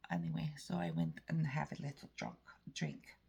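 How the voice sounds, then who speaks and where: A woman from Canada with an Eastern European-sounding accent, telling a story in a rambling, halting way with many restarts. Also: low voice, very animated, vocal fry, a little mellow, husky. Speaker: vlogger. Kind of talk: casual storytelling monologue.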